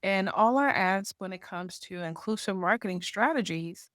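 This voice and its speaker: A woman's voice: mid-range voice, normal speed, a bit bright, no echo, clean studio sound. A woman guest on a podcast interview, explaining her points step by step and checking in with "right?". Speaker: podcast guest, marketing association founder. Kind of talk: conversational interview.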